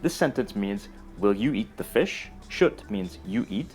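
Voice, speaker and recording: Male narrator, scripted educational read, even and explanatory, soft music bed. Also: on the low side, a little slow, creaky. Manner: steady, explanatory delivery. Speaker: narrator of a language explainer video. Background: faint music.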